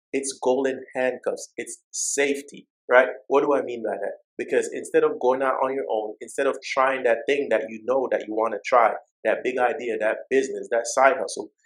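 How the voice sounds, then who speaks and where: A man with a Jamaican accent talks to camera about personal finance, and his voice sits at an ordinary mid pitch. He presses his points in a direct, exhorting way and stacks repeated phrases to drive each one home. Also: steady tone, moderate pace, low-quality recording. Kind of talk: motivational talk to camera.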